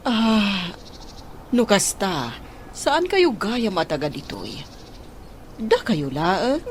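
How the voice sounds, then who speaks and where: Kindly madam, very animated intonation. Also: female voice, medium pitch, drawn-out words, a little hiss or hum. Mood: curious, concerned. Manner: concerned, questioning, generous. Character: kindly, inquisitive well-off madam of the house. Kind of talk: Philippine radio drama acting.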